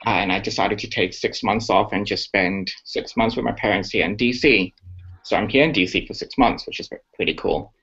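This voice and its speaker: Upbeat, chatty young male guest with a lowish voice; lo-fi web-call sound. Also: moderate pace, creaky, fairly bright tone, breathy edge. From Singapore. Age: young adult. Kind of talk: casual interview chat. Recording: muffled lo-fi call audio.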